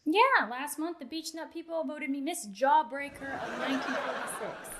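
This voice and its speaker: A woman's voice: sits high, moderate pace, natural voice. A woman playing a glamorous 1940s movie star in radio comedy, with sassy, pointed retorts. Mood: teasing, sassy. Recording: noisy live stage recording.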